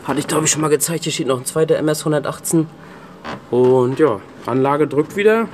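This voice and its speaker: A man's voice: a little high, fairly quick, darkish tone. Casual man vlogging over background hum. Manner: informal, off-the-cuff, colloquial. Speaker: video host and PA sound technician. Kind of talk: casual handheld vlog commentary. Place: indoor venue rooms and cellar. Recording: background hum and room noise.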